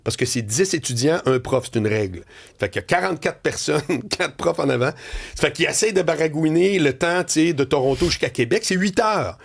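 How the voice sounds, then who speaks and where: A Quebec man with a gravelly, slightly husky voice and a lively pitch that swings up and down. He is a middle-aged radio talk host who tells stories with lots of animation. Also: a little fast. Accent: Quebec French. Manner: storytelling, laughing, swinging pitch. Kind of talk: animated editorial chat. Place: radio studio.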